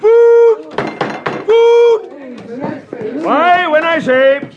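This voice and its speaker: An actor playing a blustering, tyrannical husband in a classic radio Shakespeare comedy, theatrical, swinging between roaring and mock-sweet coaxing. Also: male voice, very high-pitched, expressive, extremely slow, highs cut off, crowd noise, noisy background, exaggerated voice. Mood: raging bluster. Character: blustering, tyrannical husband, loud master taming his bride.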